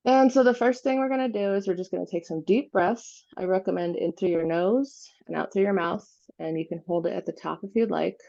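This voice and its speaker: A woman leading an unscripted guided visualization meditation, giving gentle instructions with pauses. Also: medium pitch, vocal fry, lo-fi sound.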